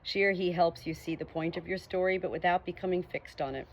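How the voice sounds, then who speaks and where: A woman's voice: fairly low pitch, fairly even intonation, fairly quick, slight background noise, poor audio. A woman reading a nonfiction book aloud as an audiobook. She reads earnestly and lifts her voice on the questions. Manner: earnest, rising emphasis on questions. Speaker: audiobook reader.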